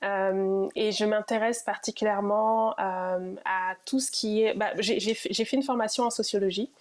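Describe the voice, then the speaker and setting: A woman's voice: mid-range voice, steady tone, moderate pace. A woman, herself an immigrant, answering interview questions about her program with frequent hesitations. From immigrated to Ontario. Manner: hesitant, many fillers.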